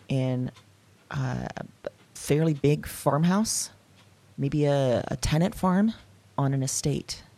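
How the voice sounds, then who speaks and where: A woman playing a haunted dreamer in an audio drama, haltingly recounting visions of past lives. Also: extremely low pitch, darkish tone, exaggerated voice. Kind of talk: confessional audio drama monologue, halting recollection.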